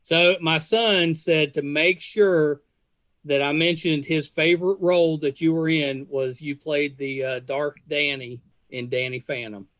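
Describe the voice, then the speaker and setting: A middle-aged man with a slightly high voice hosts a relaxed interview. He is chatty and folksy, and the recording is close and dry with very little room sound. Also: unhurried, no room sound. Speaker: podcast host. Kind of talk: casual interview chat.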